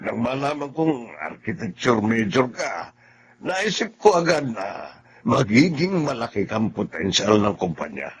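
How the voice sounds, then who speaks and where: Hearty, animated male boss. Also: medium pitch, unhurried, a little hiss or hum. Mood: hearty, approving. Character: friendly, encouraging older male architect boss. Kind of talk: Tagalog radio melodrama acting.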